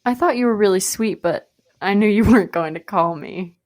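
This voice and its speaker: A woman's voice: mid-range voice, brisk, a little mellow, close to an everyday voice. An actress playing a nervous, self-mocking young woman who feels queasy, in a radio play. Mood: anxious. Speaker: actor.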